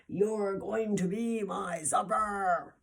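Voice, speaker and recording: A woman's voice: medium pitch, fairly even intonation, slow, gravelly. A woman voicing a hungry storybook dragon that growls and whimpers. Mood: menacing. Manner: growling roars, pitiful whimpers.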